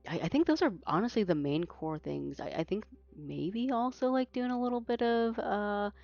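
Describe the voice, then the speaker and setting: A woman's voice: medium pitch, lively intonation, normal speed, creaky, darkish tone, no room sound, lo-fi sound. A woman whose first language is Mandarin, casting an esports tournament in casual chat, rambling with many fillers and restarts. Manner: rambling, self-correcting, frequent fillers. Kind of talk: casual post-match chat.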